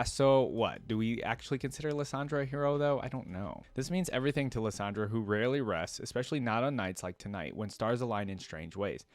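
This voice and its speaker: Man, lowish voice, casual reaction commentary, informal read-aloud, dry close recording. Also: no room sound. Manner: informal, uses slang like dude and man. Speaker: reaction video creator.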